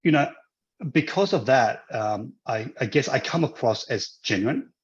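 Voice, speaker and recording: Male guest, hesitant, lots of restarts, mid pitch, muffled lo-fi recording. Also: animated, measured pace. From Hong Kong, raised in Australia. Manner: hesitant, many restarts and fillers. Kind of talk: casual interview conversation.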